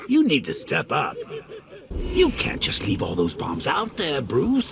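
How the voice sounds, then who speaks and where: A man's voice: medium pitch, wildly swooping pitch, unhurried, gravelly, full character voice. An actor playing a sadistic, cackling male clown villain who taunts the hero with theatrical mockery in video game voice lines. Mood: taunting. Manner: mocking, theatrical, sing-song taunts and cackles. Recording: tinny lo-fi game audio with loud noise.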